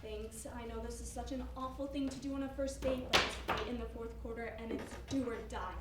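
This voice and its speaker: Woman playing an eager awkward young date in a stage comedy, high voice, noisy far-off recording. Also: steady tone, a little fast, roomy sound, natural voice. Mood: apologetic, chatty. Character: eager, apologetic young woman on a first date, football-obsessed. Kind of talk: amateur stage comedy acting.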